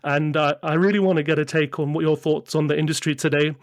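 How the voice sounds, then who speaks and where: A man's voice: fairly high pitch, fairly even intonation, fast talker, dry recording, clean studio sound. A man hosting a podcast in a casual, chatty way, often correcting himself as he goes. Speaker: podcast host.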